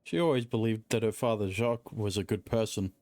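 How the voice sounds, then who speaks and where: A woman's voice: rumbling low, fairly quick, a little mellow, no echo. A woman streamer giving casual game commentary with dry, sardonic asides. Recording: faint game music behind.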